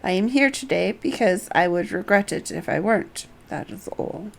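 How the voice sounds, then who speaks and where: A woman's voice: mid-range voice, animated, moderate pace, creaky. A woman voicing a frank, resolute young noblewoman from a fantasy game, blunt yet formally worded. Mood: resolute, modest.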